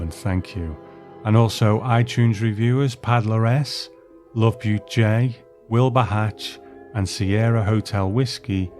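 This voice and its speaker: A man hosting a podcast, reading out thank-you announcements to listeners in a measured, formal, courteous way. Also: on the low side, a little mellow. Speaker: podcast host. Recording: music playing behind the voice.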